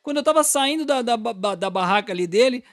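A Brazilian man co-hosting a podcast, chatting casually with a relaxed, teasing humor. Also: extremely high pitch, quick pace. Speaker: podcast co-host. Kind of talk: casual chat.

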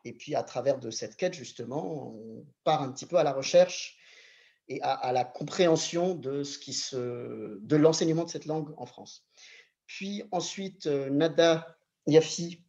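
A man moderating a public panel, introducing the speakers in a measured way with frequent hesitations. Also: a little high, creaky, a little mellow, lo-fi sound.

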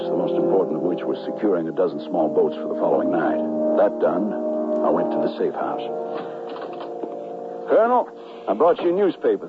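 An actor playing a cautious, gentlemanly conspirator in a western radio drama, speaking in a measured, formal and earnest way. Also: male voice, low voice. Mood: measured, serious. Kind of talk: radio drama acting with first-person narration. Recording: muffled, narrow-band 1970s radio broadcast.

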